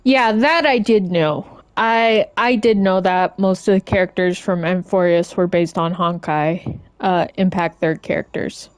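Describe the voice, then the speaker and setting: A woman game streamer chatting casually and informally as she plays, in a halting, rambling way. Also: lowish voice, clear, resonant tone, no echo. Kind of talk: casual live-stream chat while playing.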